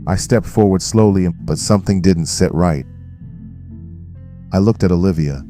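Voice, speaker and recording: An actor playing a grave, watchful crew member, narrating an audio drama in a serious, deliberate way. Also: male voice, low voice, mellow, soft background music, natural voice. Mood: uneasy, tense.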